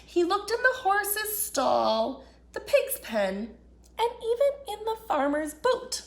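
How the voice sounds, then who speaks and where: A woman reading a picture book aloud to children as an expressive storyteller. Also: very high-pitched, slow. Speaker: children's storyteller, children's ministry director.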